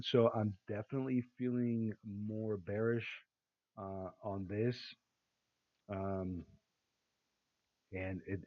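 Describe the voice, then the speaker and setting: A man giving casual chart analysis with a raspy, tired voice, rambling with many fillers and restarts. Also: fairly low pitch. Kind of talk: casual screen-share market analysis. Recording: tinny phone-like sound.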